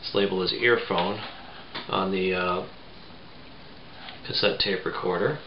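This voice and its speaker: A man in his forties giving a casual step-by-step tutorial in a halting, plain-spoken way. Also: low-pitched, fairly even intonation. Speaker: tutorial presenter. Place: small home room, indoors. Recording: roomy, muffled, faint background noise.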